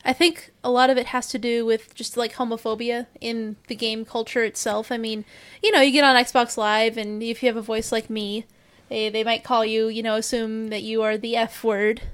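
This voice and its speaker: A woman's voice: a little high, flat delivery, normal speed. A woman who works as a game effects artist, chatting casually and rambling with many restarts. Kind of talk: casual podcast chat.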